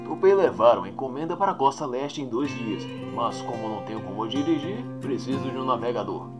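A man's voice: medium pitch, expressive, fairly quick, natural voice. A man voicing a cocky old ex-hero in a comic-book dub, breezy and persuasive, with a Brazilian accent. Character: cocky old blind male ex-hero, eager talker. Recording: music under the voice.

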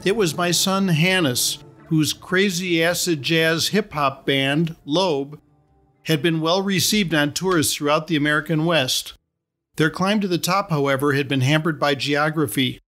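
A middle-aged man reading his own story aloud as a podcast host, in a measured, wry storytelling manner. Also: on the high side, fairly bright tone, soft background music. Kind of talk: scripted storytelling narration.

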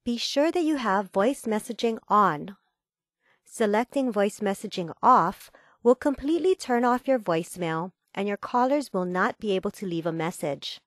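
A woman reading a scripted instructional voice-over in a measured, formal, step-by-step manner. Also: medium pitch, expressive, dry recording, clean studio sound. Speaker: tutorial narrator.

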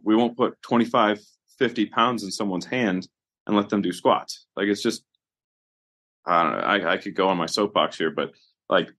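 A man co-hosting a podcast, talking in a loose, conversational way with strong opinions. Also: lowish voice. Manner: conversational, animated opinions, many fillers. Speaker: podcast co-host, physical therapist. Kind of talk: casual podcast discussion.

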